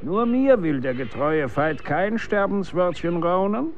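A gruff executioner, crows behind. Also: male voice, high-pitched, lively intonation, unhurried, highs cut off, a little hiss or hum. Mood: grim, wary. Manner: brusque, archaic wording. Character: gruff old-time executioner and stern father. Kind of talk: radio drama acting.

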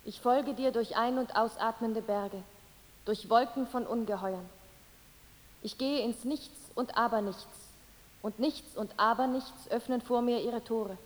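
An actress playing a devoted lover in a radio play, delivering a solemn, incantatory poetic monologue. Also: female voice, a little high, measured pace, close to an everyday voice. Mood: solemn, yearning. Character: solemn, devoted woman lover chanting into nothingness. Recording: long cavernous reverb.

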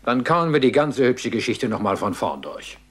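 A man dubbing a police lieutenant in a brisk, authoritative way. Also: mid-range voice, big pitch swings, over loud music, lightly acted. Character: gruff, businesslike male police lieutenant.

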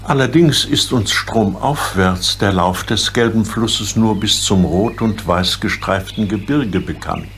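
Man playing slow, dignified old Chinese emperor. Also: lowish voice, fairly bright tone, a little hiss or hum. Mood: solemn. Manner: stately, formal, measured old-fashioned speech. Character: dignified, solemn old Emperor of China, worried father. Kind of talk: radio play acting for children.

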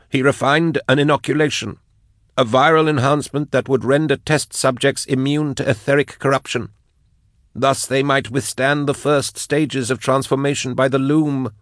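A man voicing a tormented, devout woman captive in an audiobook, her words strained, halting and unwilling as she is forced to confess. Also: fairly bright tone, no room sound, studio quality, close to an everyday voice. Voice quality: strained, high-pitched. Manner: tight, unwilling, halting, whining. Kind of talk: dramatised audiobook dialogue.

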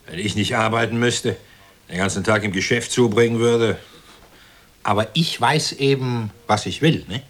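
An actor playing a smug, callous, middle-aged husband in a radio play, with a sneering, opinionated delivery. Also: male voice, fairly low pitch, normal speed, natural voice. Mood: smug scorn. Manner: sneering, opinionated, self-satisfied. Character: smug, callous well-off husband commenting on television violence. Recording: clearly noisy recording.

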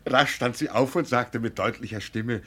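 A man acting a proud, doting poor village father in a 1950 radio drama, husky and earnestly boastful. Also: mid-range voice, moderate pace, a bit bright. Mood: fond, reminiscing. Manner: earnest, boastful about his child.

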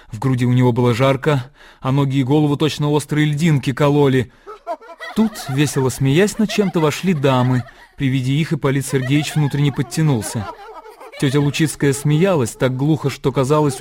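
A man narrating a classic story for a radio play in an expressive literary style. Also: mid-range voice.

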